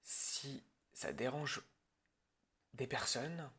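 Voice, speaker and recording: A man improvising an ASMR roleplay as a film director, talking in a halting, rambling way that often drops toward a whisper. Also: mid-range voice, big pitch swings, bright, forceful tone, husky.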